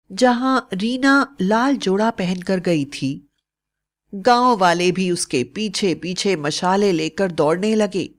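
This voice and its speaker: A woman narrating a scripted fairy tale as a storyteller. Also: fairly low pitch.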